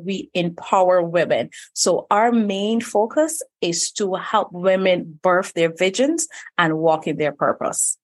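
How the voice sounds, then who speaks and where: A woman from Barbados, a life coach telling her childhood story in a podcast interview, earnest and reflective. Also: on the low side. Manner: earnest, reflective, with frequent "you know". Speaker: guest, life purpose coach.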